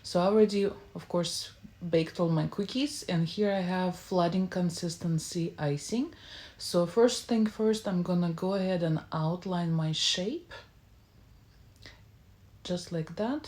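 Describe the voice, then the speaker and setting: A woman's voice: fairly low pitch, mellow. A woman hosting a baking tutorial, narrating each step casually with informal, slightly non-native English phrasing. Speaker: baking channel host.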